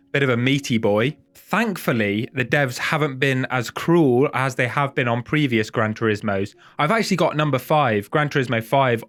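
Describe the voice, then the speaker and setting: A man's voice: medium pitch, fairly bright tone. A man with a head cold and a nasal voice, chatting casually and self-deprecatingly as host of a gaming video.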